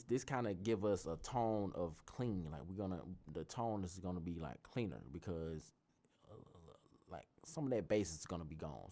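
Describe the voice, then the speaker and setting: A man with a bassy voice talking loosely through a casual tutorial, often restarting his sentences. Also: a little mellow. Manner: loose, rambling explanations with frequent restarts. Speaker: tutorial host. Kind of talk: casual screen-recorded tutorial.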